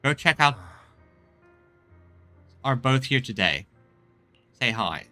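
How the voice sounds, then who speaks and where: A man's voice: medium pitch, animated, takes it slowly, bright, forceful tone, no echo, faint music behind. A man streaming a game and reading dialogue aloud, often stumbling and repeating phrases.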